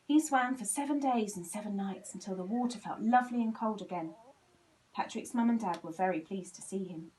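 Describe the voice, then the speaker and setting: A woman reading a children's storybook aloud in an expressive, animated way, acting out the dialogue. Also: mid-range voice, brisk, distant mic, rough recording. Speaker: volunteer story reader.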